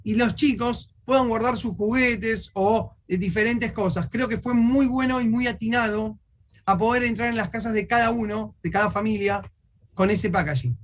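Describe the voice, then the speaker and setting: A man's voice: high-pitched, moderate pace. A man with an Argentine accent interviewing a guest in a conversational way.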